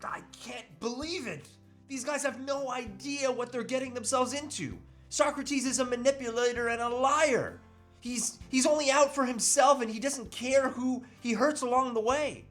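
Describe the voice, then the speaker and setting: A man's voice: extremely high pitch, normal speed, fairly bright tone, soft background music. A man playing a tormented, guilt-ridden husband, earnest and melodramatic, in an amateur table read. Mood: outraged. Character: tormented, guilt-ridden husband warning against a pickup artist.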